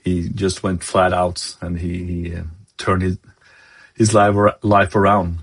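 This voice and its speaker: A low-voiced man with a Norwegian accent delivers a devotional talk in English, his second language. His voice is husky and slightly breathy, and he speaks slowly, stopping often to hunt for the right word. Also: flat delivery. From Norway. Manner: halting, searching for words, many fillers. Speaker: lay preacher. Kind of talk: devotional sermon.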